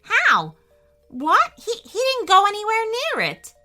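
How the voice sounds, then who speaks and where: A woman's voice: very high-pitched, huge pitch swings, takes it slowly, sharp tone, soft background music, pitched above the performer's own voice, heavily acted. A woman voicing a sceptical young schoolboy in a children's story, emphatic and matter-of-fact. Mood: puzzled.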